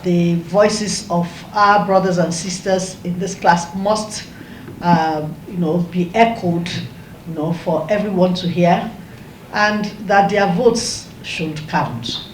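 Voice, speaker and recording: A woman giving an earnest, halting advocacy statement. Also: on the low side. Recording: echoey room, faint noise.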